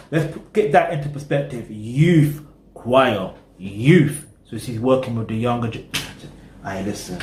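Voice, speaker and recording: A man's voice: mid-range voice, a little mellow, echoey room, slight background noise. A British man, casually chatting through a music reaction video and rambling with lots of "you know what I mean". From Britain.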